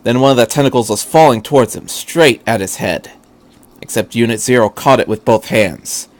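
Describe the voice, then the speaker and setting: A man's voice: mid-range voice, normal speed. A man reading fan fiction aloud as a narrator, with an earnest, dramatic delivery.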